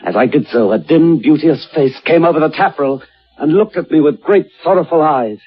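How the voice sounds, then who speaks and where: A man's voice: a little high, expressive, normal speed, breathy edge, no room sound. A muffled vintage radio horror drama with music behind the voice. a haunted sailor who recounts his ordeal at sea with grave, theatrical suspense and an edge of panic. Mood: rising horror.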